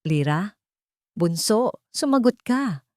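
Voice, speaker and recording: A woman's voice: medium pitch, lively intonation, slow, a little mellow, no echo, clean studio sound, pitched above the performer's own voice. A woman narrator voicing a worried young man in a story, anxious and pleading as he searches for his little sister.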